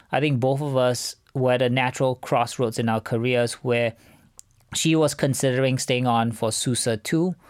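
A man answers interview questions in a measured, businesslike way. His voice sits at a medium pitch for a man, and the recording is close and dry, with very little room sound. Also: no echo. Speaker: venture capital fund co-founder, interview guest.

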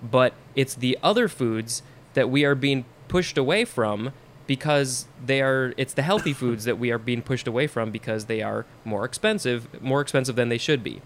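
A man's voice: medium pitch, moderate pace. Man hosting, with faint background noise. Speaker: host. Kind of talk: casual podcast conversation.

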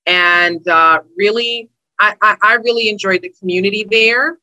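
Brooklyn woman in her thirties, very bright tone, dry close sound, chatty interview guest. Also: mid-range voice, normal speed, no room sound, rough recording. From Brooklyn, New York. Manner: friendly and chatty, with easy laughs. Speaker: guest, housing attorney. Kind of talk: interview conversation.

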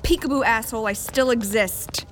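An actress playing a tough, foul-mouthed rogue leader, blunt, sarcastic and exasperated, in an audio drama. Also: female voice, on the high side, moderate pace, noisy background, lightly acted.

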